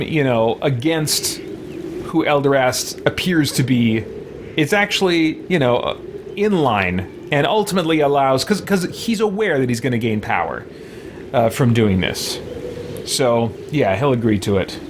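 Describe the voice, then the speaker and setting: A man in his thirties giving casual gameplay commentary, thinking aloud in a halting way. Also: mid-range voice, noisy background. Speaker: let's play host. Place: small home room with shelves.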